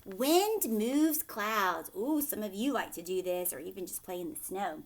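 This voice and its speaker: A woman in her thirties reading aloud and explaining to young children in an expressive way, asking simple questions, recorded at home on a webcam. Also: medium pitch, a little slow. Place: living room at home, indoors. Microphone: webcam or laptop at arm's length.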